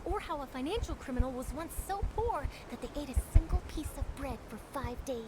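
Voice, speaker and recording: A woman's voice: sits high, animated, brisk, heavy background noise. A woman playing an eager, ambitious young female reporter in an anime video game, with music behind. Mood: confident, eager. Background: loud music from a video game.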